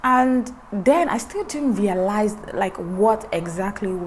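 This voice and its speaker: A woman's voice: mid-range voice, animated, slow pace, a little hiss or hum. A young woman in her twenties who grew up in Ireland, vlogging a personal story to camera with an earnest manner, many fillers and restarts.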